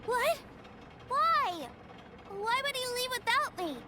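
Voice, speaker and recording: A woman's voice: very high voice, big pitch swings, takes it slowly, pushed and bright, exaggerated voice. A woman voicing an innocent, eager little boy in a video game, with a childlike voice. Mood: disappointed. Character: eager innocent little boy adoring his big brother.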